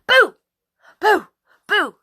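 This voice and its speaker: A woman voicing a boisterous baby girl from a picture book in short, loud, babbling exclamations. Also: extremely high pitch, big pitch swings, extremely slow, voice pushed up, full character voice. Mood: gleeful.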